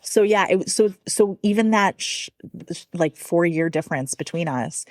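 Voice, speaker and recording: A woman's voice: on the low side, lively intonation, normal speed, a little mellow, dry recording. A woman in her forties chatting informally and in a self-deprecating way as a podcast guest. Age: 40s. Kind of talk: casual conversational interview.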